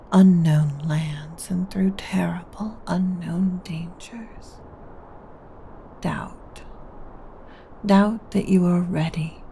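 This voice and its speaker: A woman voicing a seductive, taunting undead lich queen in a breathy whisper, as a slow, teasing ASMR roleplay monologue. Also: fairly low pitch, dark tone, slight background noise. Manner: slow, deliberate, teasing, regal. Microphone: close mic.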